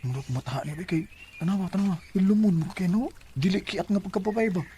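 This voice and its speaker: An actor with a Cebuano accent playing an earnest, smitten young suitor in a radio drama, speaking in an eager, heartfelt way. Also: male voice, sits high, quick pace, dark tone, a little hiss or hum, lightly acted. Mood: shy, smitten. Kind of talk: Bisaya radio drama acting.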